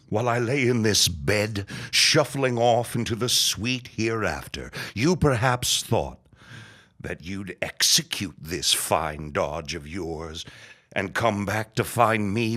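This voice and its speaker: An actor playing a dying, bitter old reverend in a period audio drama, raspy and strained, grandly accusing. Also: male voice, on the low side. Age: older. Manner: slow, forceful, grandly accusing. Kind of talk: period radio drama acting.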